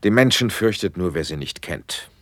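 A commanding Renaissance prince in a radio drama. His low voice swings widely in pitch as he declaims stately verse, impatient and rhetorical, with a husky grain in a dry studio. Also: lively intonation, normal speed, a bit bright, no echo. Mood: grave, critical. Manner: stately, elevated, rhetorical delivery. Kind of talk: classic German radio play, declaimed stage verse.

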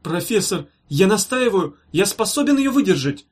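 A man's voice: fairly high pitch, animated, fast. A man voicing a frightened, gullible male hospital patient in an audiobook, anxious, whining and pleading. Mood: desperate pleading. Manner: anxious, whining, breathlessly insistent.

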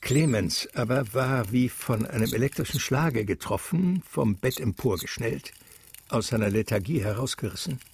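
A man gives a deliberate, expressive literary narration for a radio drama. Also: medium pitch, gravelly, slight background noise.

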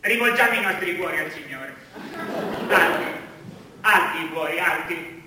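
A man's voice: on the high side, normal speed, voice pushed down, natural voice. A man playing a frantic priest in a stage comedy, chanting absurd rites with insistent repetition. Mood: commanding, frantic. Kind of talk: theatrical stage comedy monologue. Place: theatre stage before laughing audience. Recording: echoey theatre stage, distant mic, noisy.

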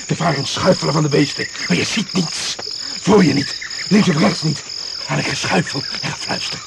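An actor playing an exhausted, jittery jungle crash survivor in a Dutch radio play, agitated and insistent. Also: male voice, on the high side, normal speed, gravelly. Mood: frightened, exhausted. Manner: jittery, insistent, fragmented phrases. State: worn out, agitated. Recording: noisy, insects and frogs behind.

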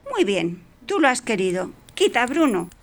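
A woman's voice: on the high side, very animated, normal speed. A woman voicing a cocky, bossy male cat in a radio play, bantering in a mocking, challenging way. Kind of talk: radio play adapted from puppet show.

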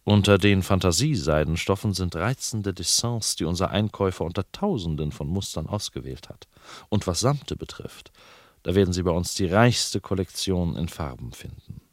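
A man's voice: deep voice, animated, normal speed, no echo, studio quality. A man reading the lines of a store owner praising his silks in a lilting sales patter. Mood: persuasive. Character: smooth-talking male store owner selling silks. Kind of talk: literary reading.

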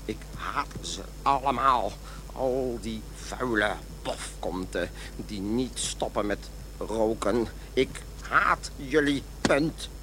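A man's voice: mid-range voice, takes it slowly, pushed and bright, a little hiss or hum. An actor playing an irritable, rude man who is quitting smoking, condescending and easily indignant, in an audio drama. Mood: bitter.

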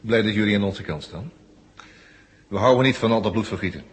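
A man's voice: fairly low pitch, a little fast, in a large hall, a little hiss or hum, close to an everyday voice. An actor playing a sneering, sardonic henchman who taunts his captives in a vintage Dutch radio play.

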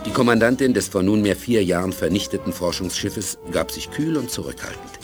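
A man's voice: low-pitched, lively intonation, a little fast. A man narrating a space adventure in a composed, scripted manner for a 1980s German radio play. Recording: music under the voice.

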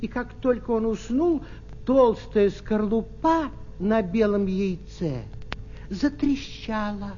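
A man narrating a children's fairy tale, with expressive, deliberate phrasing and pauses. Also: sits high, dull audio. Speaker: storyteller.

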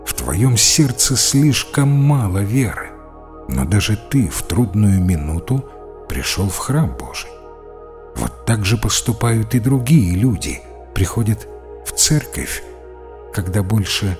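Man voicing a kindly, low-voiced priest. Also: slow, faint music behind. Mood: gentle, consoling. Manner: calm, encouraging, preachy. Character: kindly, gently stern priest counselling a boy.

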